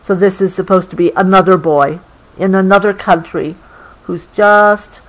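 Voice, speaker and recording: A woman reads a picture book aloud to a child, gently adding explanations as she goes. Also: medium pitch, fairly even intonation, normal speed, poor audio. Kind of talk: read-aloud storybook with explanatory asides.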